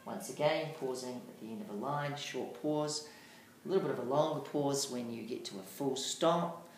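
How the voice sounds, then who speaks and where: A teacher giving an instructional lesson on reading aloud, speaking deliberately and emphatically with marked pauses. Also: mid-range voice. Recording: echoey room, faint background noise.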